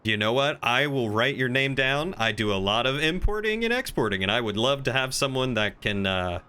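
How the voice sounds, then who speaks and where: A man's voice: medium pitch, expressive, fairly quick, sharp tone, clean tone. A man streaming a game, chatting casually and improvising roleplay in a polite, hesitant way.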